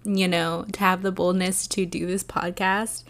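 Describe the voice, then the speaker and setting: A young woman hosting her own podcast, talking alone in a soft, soothing and earnest way, with many fillers. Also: lowish voice, little pitch movement, measured pace. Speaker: podcast host. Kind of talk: reflective solo podcast talk.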